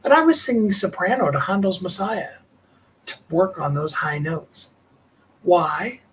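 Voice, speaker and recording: A middle-aged man with a higher tenor voice, hosting a livestream and talking in a rambling, heartfelt way with small laughs. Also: animated, unhurried, fairly bright tone, slightly rough, poor audio. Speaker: livestream host and singer. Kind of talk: reflective personal livestream talk.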